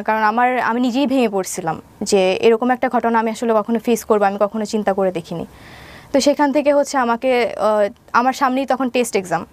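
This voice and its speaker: A young woman with a Bangladeshi accent, giving a personal account as an interview guest and mixing in English words. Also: a little high. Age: young adult. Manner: mixes English words into her speech.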